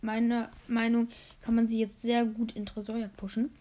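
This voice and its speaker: Hoarse young woman, rambling gaming podcast. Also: a little high, level tone, normal speed, warm tone. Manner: rambling, many fillers, playing a game while talking. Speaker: podcast host. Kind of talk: casual gaming podcast chat.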